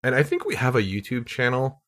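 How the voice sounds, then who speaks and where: A man co-hosting a casual podcast, joking around and laughing often with quick asides. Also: medium pitch, big pitch swings, fairly bright tone. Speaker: podcast co-host.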